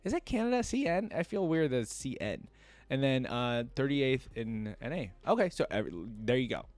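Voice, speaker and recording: A man's voice: mid-range voice, sing-song, fairly quick, a little mellow, no room sound. A man making a reaction video, chatty and thinking aloud as he reads text out and comments casually.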